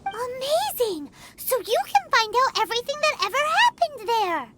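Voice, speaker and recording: A woman voicing a small, chirpy and nosy girl companion in a game, with a high squeaky childlike voice and excitable outbursts. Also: slight background noise, heavily acted. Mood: excited. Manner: excitable, quick exclamations. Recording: game soundtrack behind.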